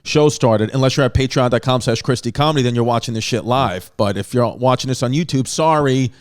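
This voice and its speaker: A man with a New York accent hosting a comedy podcast, talking in a rambling, jokey way with quick asides. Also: medium pitch, clear, resonant tone. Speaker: podcast host. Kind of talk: casual comedic chat.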